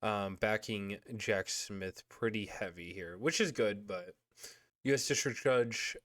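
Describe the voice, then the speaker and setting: A man's voice: on the low side, slow pace, fairly bright tone, dry recording, studio quality. A young man in his late teens, congested, reacting to news on a livestream with frustrated, profane asides. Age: young adult. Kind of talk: casual livestream commentary.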